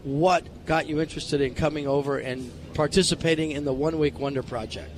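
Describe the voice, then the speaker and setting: A man's voice: a little high, fairly even intonation, a little fast. A man hosting live radio on location, chatty and announcer-like. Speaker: radio host and interviewer. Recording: ambient event noise behind voice.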